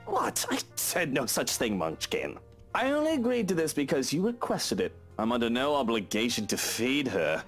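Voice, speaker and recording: A man's voice: mid-range voice, huge pitch swings, normal speed, fairly bright tone. An actor playing an arrogant, impatient man in a visual novel game, with a sarcastic, haughty manner. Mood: grudging. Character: arrogant, grudging, impatient man with a soft spot. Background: game music.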